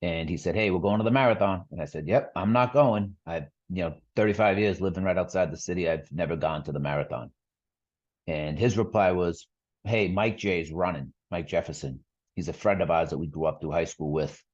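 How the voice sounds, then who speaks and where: A man in his forties from the Boston area with a Boston accent, a podcast guest telling his story in a relaxed, chuckling way. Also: low voice, a little fast, lo-fi sound. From Boston area, USA. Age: 40s. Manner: relaxed, chuckling, many fillers. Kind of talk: casual storytelling.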